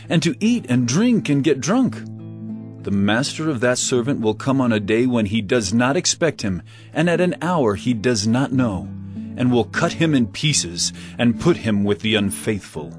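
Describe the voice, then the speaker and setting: An actor playing an earnest, commanding male religious teacher in a scripture audio drama, preaching with solemn emphasis. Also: mid-range voice.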